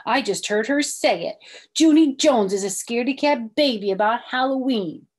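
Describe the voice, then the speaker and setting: A shrill pleading little tattletale girl. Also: slow, mellow. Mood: taunting. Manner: shrill, high, begging. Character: whiny tattling little schoolgirl, panicky and pleading.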